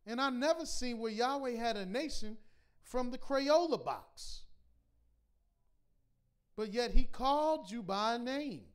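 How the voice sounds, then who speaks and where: A man teaching a scripture class in a preaching style, reading verses slowly and pressing his points with repeated rhetorical questions. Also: very high voice, lively intonation, clean tone. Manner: emphatic, repetitive rhetorical questions; slow deliberate scripture reading.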